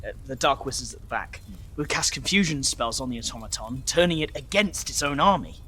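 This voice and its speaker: A man's voice: medium pitch, expressive, a little fast, pushed and bright. A man playing a fussy, talkative storytelling companion in a video game, nervous and prone to stammering explanations. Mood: explaining. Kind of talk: video game character acting.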